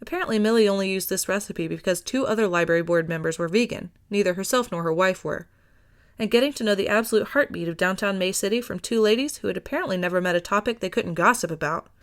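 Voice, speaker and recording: A woman reading scripted fiction as an audiobook narrator. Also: mid-range voice.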